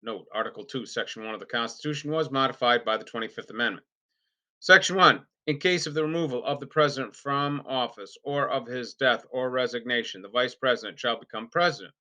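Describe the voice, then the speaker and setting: A man reading a formal legal document aloud, unrehearsed, in deliberate, halting phrases with emphatic starts, on a lo-fi recording. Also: medium pitch, sharp tone. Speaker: reader. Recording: dull lo-fi recording.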